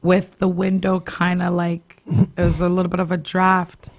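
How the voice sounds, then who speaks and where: A woman hosting a casual radio chat, her voice raspy and hoarse after a cough, talking in a halting way and laughing often. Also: lowish voice, monotone. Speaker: radio show host, spiritual doula.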